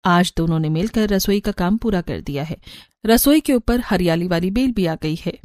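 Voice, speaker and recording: A woman's voice: fairly low pitch, lively intonation, normal speed, darkish tone. A woman narrating a scripted cartoon story.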